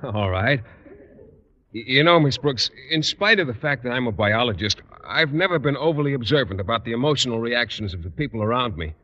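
A man's voice: mid-range voice, animated, a little fast, sharp tone. A man playing a shy, hesitant biology teacher in a 1950s radio comedy, stammering as he talks. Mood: earnest. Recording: muffled old radio broadcast with reverb.

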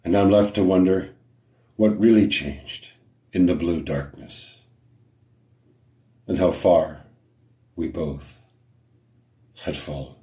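A man reciting a poem solemnly as a poet, in measured phrases with dramatic pauses. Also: low voice, warm tone, roomy sound.

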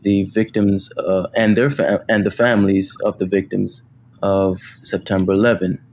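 A man speaking as a guest on a podcast, talking humbly about his work with many pauses and fillers. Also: deep voice, level tone, a little mellow, a little hiss or hum. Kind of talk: casual interview.

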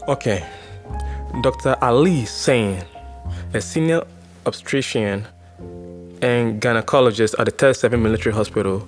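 A man's voice: medium pitch, normal speed, pauses often, soft background music. A Ghanaian man hosting a podcast, reading news stories aloud and then reacting to them with exclamations and comments. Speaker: podcast host.

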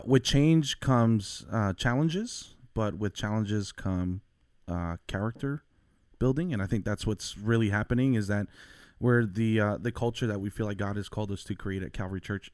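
A man with a New York accent, a worship leader from Long Island, speaking earnestly in casual podcast chat, halting with many fillers. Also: lowish voice, a little mellow, dry recording, studio quality.

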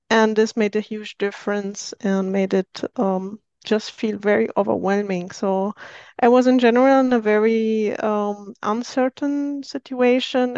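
A woman from Syria tells her story as a podcast guest, pausing often and filling gaps with soft fillers. Her voice sits a little high and sounds smooth and clear. The recording is dry and slightly dull. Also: no echo. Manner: hesitant, many fillers, careful non-native phrasing. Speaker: guest, software engineer. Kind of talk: podcast interview.

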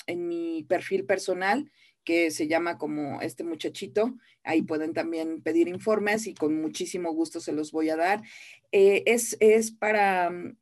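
A woman's voice: fairly low pitch, darkish tone. A Mexican woman who teaches voice acting, speaking earnestly and encouragingly, repeating phrases for emphasis. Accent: Mexican Spanish. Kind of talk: interview guest.

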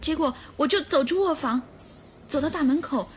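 A woman's voice: high-pitched, moderate pace, lightly acted. A woman playing an earnest, distressed young governess under suspicion in a radio drama. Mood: anxious recounting.

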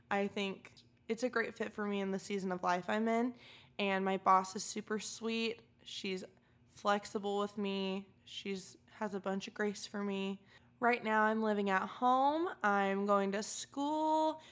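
A bubbly young woman of about twenty chats candidly as a podcast co-host. She talks at an easy, ordinary pitch and keeps a friendly, casual flow. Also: a bit bright. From California, USA. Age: 20s. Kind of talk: casual chat.

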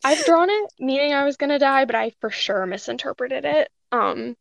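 Woman, high voice with vocal fry, explains tarot, hesitant, dry close sound. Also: normal speed, dry recording, muffled recording. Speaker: tarot reader co-host. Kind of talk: casual live audio chat.

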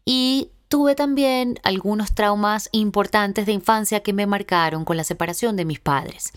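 A woman's voice: medium pitch, a little slow, dry recording, clean studio sound. A young woman, hosting her own podcast in a heartfelt, reflective personal monologue. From Venezuela. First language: Spanish. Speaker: podcast host.

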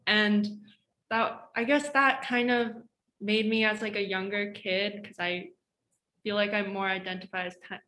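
Teen girl student, hesitant and word-searching, middle pitch, faint ambient noise. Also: flat delivery, a little slow, pushed and bright. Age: teens. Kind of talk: casual conversation.

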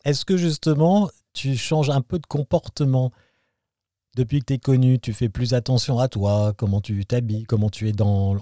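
A man's voice: medium pitch, steady tone, moderate pace, warm tone, no echo, studio quality. A man hosting a radio interview, asking questions in a friendly, informal way. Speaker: radio host.

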